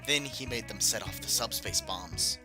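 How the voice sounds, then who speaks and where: A man's voice: lowish voice, moderate pace, over music, natural voice. A man reading as a plain-spoken robot hero. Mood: explaining. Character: earnest male robot hero explaining himself.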